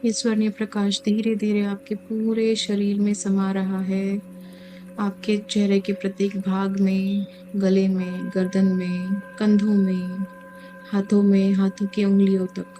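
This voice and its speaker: A woman leading a guided meditation as a teacher, speaking calmly in short, measured phrases with pauses. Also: mid-range voice. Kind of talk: guided meditation, slow soothing instruction. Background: music.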